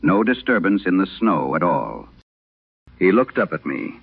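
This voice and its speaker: A man narrating a vintage radio horror drama as its host, reading in a grave, deliberate, dramatic manner. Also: low-pitched, sing-song, vocal fry, breathy edge, no room sound. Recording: narrow-band vintage radio sound.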